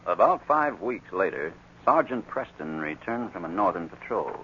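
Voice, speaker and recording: A man narrating a 1940s radio adventure drama as a formal, measured storyteller. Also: sits low, very animated, pushed and bright, heavily acted. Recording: muffled, noisy 1940s radio recording.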